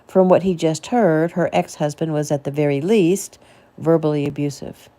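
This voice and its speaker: A woman's voice: low-pitched, steady tone, normal speed, mellow. A woman reading her own novel aloud as a casual audiobook narration.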